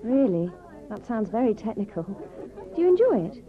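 A curious, chatty young woman with a high, bouncy voice. The recording is tinny and phone-like. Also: sing-song, normal speed. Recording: tinny tape.

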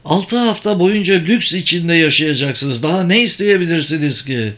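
A man's voice: a little high, expressive, moderate pace, a bit bright, pitched above the performer's own voice. A man voicing a superior, persuasive sorcerer in an audiobook, speaking formally and insistently. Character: persuasive, superior sorcerer who runs secret political games.

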